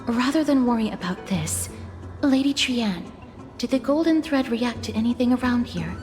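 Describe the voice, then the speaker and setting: A solemn, polite young woman from a fantasy game, played by a voice actress. She speaks softly and formally in a fairly high voice, with a long reverb and music underneath. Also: lively intonation, lightly acted. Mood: calm, thoughtful. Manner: measured, formal, soft-spoken. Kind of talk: video game voice acting. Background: background music.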